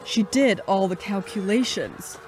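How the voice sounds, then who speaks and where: Woman playing a brisk, dry space adventuress, animated delivery. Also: medium pitch, lightly acted. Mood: exasperated. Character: brisk, sceptical adventuress Vale, telling her own space tale. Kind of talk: pulp sci-fi audio drama acting.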